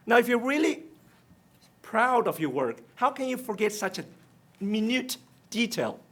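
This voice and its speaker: High-voiced man with a Taiwanese accent. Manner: non-native phrasing, direct and pointed. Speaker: union treasurer candidate. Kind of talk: debate statement.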